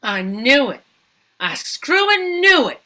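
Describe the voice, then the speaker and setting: A brash mama rat acted by a woman with a bright, forceful, highish voice. She is scrappy and sarcastic, a slangy cartoon scavenger always ready for an argument. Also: sing-song, slow, exaggerated voice. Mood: accusing. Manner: combative, sarcastic, folksy slangy diction. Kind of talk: animated comedy table read.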